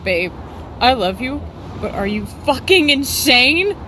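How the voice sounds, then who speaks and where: A woman's voice: sits high, big pitch swings, slow pace, bright, forceful tone, slight background noise, heavily acted. A woman playing an earnest, exasperated, hesitant young son in a game cutscene. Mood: anxious, exasperated.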